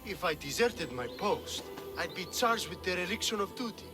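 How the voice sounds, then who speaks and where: A man's voice: high-pitched, animated, normal speed, music in the background. A man playing a cowardly, bumbling guard in a video game, hesitant and blustering as he corrects himself. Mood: sheepish, defensive.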